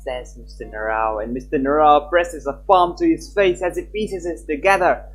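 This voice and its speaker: A streamer reading a visual novel aloud live and chatting casually with viewers. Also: male voice, a little high, faint music behind. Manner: animated, chatty, quick laughs.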